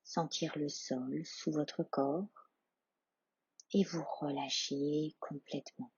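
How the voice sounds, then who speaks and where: A woman leading a guided yoga nidra meditation as instructor, hushed, calm and soothing, leaving long pauses between short phrases. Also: low-pitched, slightly rough, no echo, dull audio.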